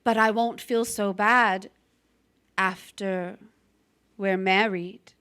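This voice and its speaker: An actress playing a steely, scheming young widow in a noir stage drama, speaking in a pointed, dramatic way. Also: female voice, medium pitch, fairly even intonation, takes it slowly, sharp tone, smooth. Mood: cold, suspicious.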